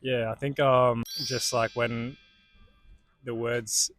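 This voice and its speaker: A young man from Australia with an Australian accent, giving relaxed, hesitant answers in an interview, full of "um" and "like". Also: medium pitch, slow pace, soft background music. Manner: laid-back and hesitant, full of "um" and "like".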